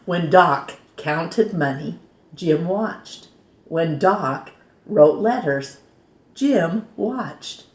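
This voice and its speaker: Slow, echoey woman reading a children's story. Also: on the low side, animated. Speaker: storytime host reading a children's book aloud. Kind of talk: expressive read-aloud storytelling for children. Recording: roomy, echoing sound.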